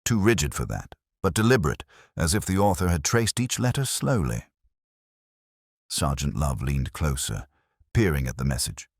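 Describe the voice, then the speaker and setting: A man narrates a mystery story in a very deep, husky voice. The pitch moves with lively variation, and the delivery is scripted storytelling. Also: moderate pace, no echo, clean studio sound. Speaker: audiobook narrator.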